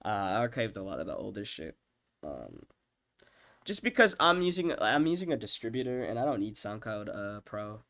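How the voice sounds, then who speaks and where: A young man with a stuffed-up, nasal voice from being sick, rambling casually with profanity and lots of fillers, often trailing off quietly while he ranks his own tracks. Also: on the low side, very animated, moderate pace, vocal fry. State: congested, sick with stuffed-up nose. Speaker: music producer ranking his own songs. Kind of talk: rambling casual screen-share commentary.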